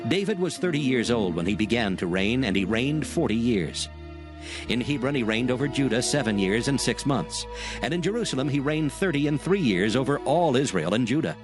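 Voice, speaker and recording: A man narrating dramatized scripture in a measured, formal way. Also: medium pitch, sing-song, music in the background.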